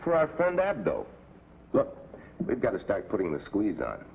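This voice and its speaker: A man's voice: on the low side, huge pitch swings, moderate pace, creaky, full character voice. A man playing a confident, scheming American secret agent in a terse, hard-boiled way, in the style of a 1950s adventure show. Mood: determined. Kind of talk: 1950s TV adventure drama acting. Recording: tinny, noisy old TV soundtrack.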